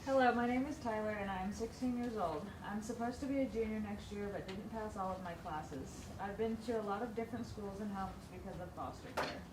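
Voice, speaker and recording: A woman's voice: mid-range voice, a little fast, hardly any pauses. A woman participant at a training, reading out a first-person character profile. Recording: noisy echoey room, far from mic.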